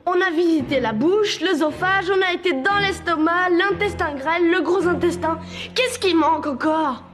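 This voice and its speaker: Cartoon schoolkid: plucky, excitable, emphatic, very high voice over prominent music. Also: female voice, normal speed. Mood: eager. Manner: emphatic, exclamatory. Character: plucky, excitable French schoolchild on a field trip. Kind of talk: animated cartoon dubbing.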